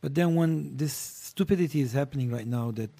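Man, husky voice, blunt and profane, guest in a chat. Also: mid-range voice, fairly quick, dark tone. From Lebanon. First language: Armenian. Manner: blunt and provocative, swears freely. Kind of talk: casual panel chat.

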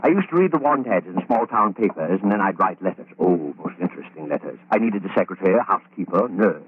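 A thin, band-limited old radio horror recording carries a smug, genteel murderer. His low voice swoops theatrically as he gloats, muttering phrases over again to himself. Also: animated, fast talker, narrow, tinny audio, a little hiss or hum. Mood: coaxing, relishing. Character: gloating, genteel madman who murders women for profit.

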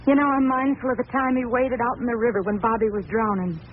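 A woman's voice: on the high side, fairly even intonation, fast, natural voice. An actress playing a poor, sentimental country mother in a 1940s radio drama. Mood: wistful, sad. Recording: tinny, faintly noisy old radio recording.

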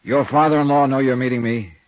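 An actor playing a tough, unflappable insurance investigator in a 1950s radio detective drama, dry and clipped. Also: male voice, medium pitch, steady tone, brisk, very noisy, lightly acted. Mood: dry, casual. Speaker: lead actor and first-person narrator. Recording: muffled 1950s radio recording with music.

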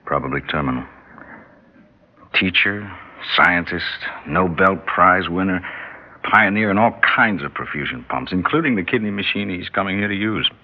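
Vintage radio drama, dull recording, earnest senior doctor, low-pitched voice. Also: male voice, animated, normal speed. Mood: grave. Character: earnest, grave male hospital chief doctor, engaged. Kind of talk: old radio drama acting. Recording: muffled, faintly noisy old radio recording.

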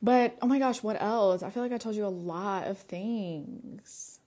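A woman's voice: mid-range voice, a little slow, dark tone. Chatty young woman podcast host. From United States. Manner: chatty, many fillers and "like". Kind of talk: casual personal monologue.

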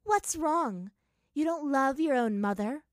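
A woman's voice: medium pitch, expressive, moderate pace, dark tone, no room sound, clean studio sound, natural voice. A woman voicing a malevolent, unhinged princess apparition in a story, proud, taunting and grandiose.

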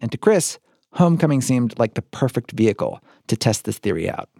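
A man's voice: medium pitch, very animated, brisk, gravelly, mellow, no echo, studio quality. An American man hosting and narrating a podcast in a scripted but conversational storytelling style.

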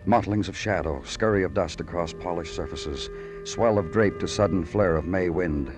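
An actor playing a world-weary, poetic police detective in an old radio crime drama, speaking in clipped, lyrical phrases. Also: male voice, low-pitched, normal speed, close to an everyday voice. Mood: brooding. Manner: clipped, brooding, lyrical narration. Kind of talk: 1940s-50s radio noir acting. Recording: old radio recording with music.

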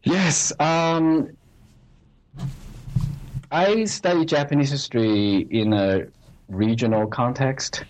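A man from China, a history professor answering interview questions in a halting way with many fillers. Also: mid-range voice, a little mellow, low-quality recording. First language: Chinese.

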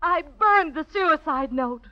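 A woman's voice: high-pitched, expressive, slow pace. An actress playing a distraught, determined young American woman, emphatic and impassioned, in 1940s radio melodrama style. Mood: impassioned, insistent. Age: young adult. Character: distraught, determined young daughter mourning her fiancé. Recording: muffled vintage radio recording.

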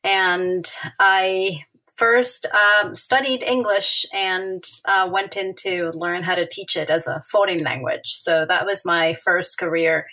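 Casual: argentine woman, spanish native, kinda low but bright tone, lots of um and restarts. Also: fairly even intonation, slow, low-quality recording. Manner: thoughtful, many hesitations and fillers. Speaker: guest author, historian. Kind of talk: academic interview.

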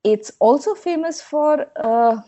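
Mellow-voiced South Indian woman academic. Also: sits high, lively intonation, slow pace. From Bangalore, India. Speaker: academic and author, interview guest. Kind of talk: thoughtful explanatory interview talk.

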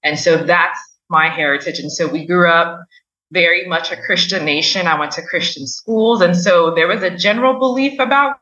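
A woman from Liberia telling her own story as an interview guest, in a measured, emphatic way. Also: lowish voice, pushed and bright, reverberant, distant mic, lo-fi sound. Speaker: podcast guest, coach.

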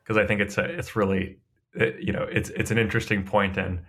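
A man's voice: fairly low pitch, level tone, a little fast, fairly bright tone, slightly rough. A man hosting a podcast interview, asking thoughtful questions with a lot of hedging. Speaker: podcast host.

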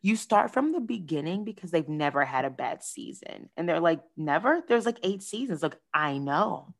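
A woman hosting a podcast in a casual, playful, animated way, calling listeners "y'all" and "honey.". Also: fairly low pitch, moderate pace. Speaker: podcast host. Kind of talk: casual chat.

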